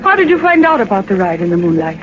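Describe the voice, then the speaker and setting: An actress playing a plucky, earnest young heroine in a 1940s radio drama, with a questioning manner. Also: female voice, mid-range voice, very animated, quick pace, natural voice. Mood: earnest, curious. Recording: very noisy, muffled 1940s radio recording.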